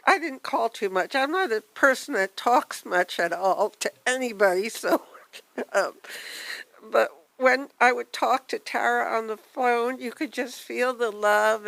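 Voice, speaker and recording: An older woman with a soft voice giving a halting, heartfelt tribute at a memorial gathering. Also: on the high side, vocal fry, no room sound, poor audio. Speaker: memorial speaker.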